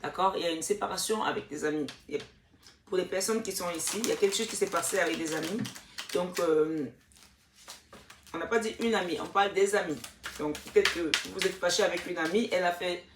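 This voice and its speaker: A woman's voice: fairly low pitch, fairly quick, distant mic, background noise. A woman hosting a casual tarot card reading, talking straight to her viewers with lots of fillers and restarts. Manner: chatty, many fillers and restarts, addresses viewers directly. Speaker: tarot reader and channel host. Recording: echoey room, voice from off the mic.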